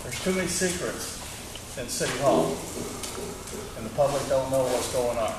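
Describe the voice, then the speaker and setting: A man's voice: medium pitch, animated, moderate pace. A man running for mayor, giving blunt, short answers at a town debate. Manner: blunt, terse. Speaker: mayoral candidate. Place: community center hall. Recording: echoey hall from far off, noisy.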